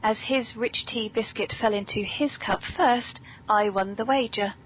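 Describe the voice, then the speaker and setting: A woman's voice: on the high side, unhurried. A British woman hosting a podcast in a genteel, playfully theatrical and very polite manner. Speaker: podcast host. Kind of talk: whimsical scripted hosting and genteel chat. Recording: dull lo-fi recording, background noise.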